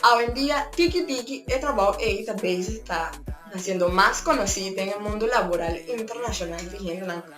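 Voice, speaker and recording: A woman's voice: mid-range voice, a little fast. A woman from Curaçao with a Papiamento accent, explaining ways to earn from home in a chatty, casual vlog that mixes languages. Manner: chatty, switching between languages. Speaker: YouTuber. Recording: echoey room with faint music.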